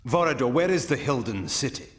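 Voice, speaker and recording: A proud, self-assured vampire antihero from a fantasy game acted by a man. His mid-pitched voice swings with theatrical, lively intonation. He is cold and haughty, clipping his formal phrases. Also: fairly quick, lightly acted. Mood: grave, insistent.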